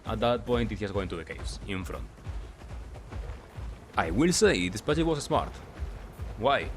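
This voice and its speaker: A man giving casual, explanatory gameplay commentary, halting and correcting himself as he reasons through his points. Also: on the low side, very animated, soft background music. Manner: halting, self-correcting, asks and answers own questions. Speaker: gamer, video commentator.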